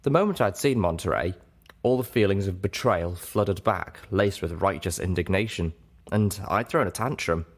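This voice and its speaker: A man's voice: sits low, normal speed. A man reading scripted first-person fiction aloud as an audiobook narrator.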